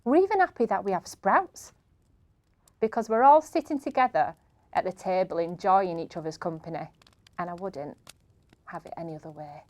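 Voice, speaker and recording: Woman gently reading children's writing. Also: on the low side, expressive, moderate pace. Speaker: reader.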